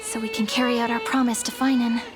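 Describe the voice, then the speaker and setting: A woman playing a sweet, playful young companion in a video game, with a gentle, musing, teasing manner. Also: fairly high pitch, flat delivery, fast, music in the background, close to an everyday voice. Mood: earnest. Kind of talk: scripted game dialogue, anime-style.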